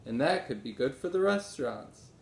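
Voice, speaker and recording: A man's voice: very animated, normal speed. A man voicing an eager, bubbly young boy in a children's storybook. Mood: curious. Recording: roomy echo, low rumbling noise.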